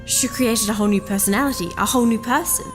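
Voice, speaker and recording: A woman playing a calm, knowing princess, an inner voice speaking with measured patience in a fantasy audio drama. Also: a little high, close to an everyday voice. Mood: calm, explanatory. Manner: measured, patient, gently insistent. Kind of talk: full-cast fantasy audio drama acting. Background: music.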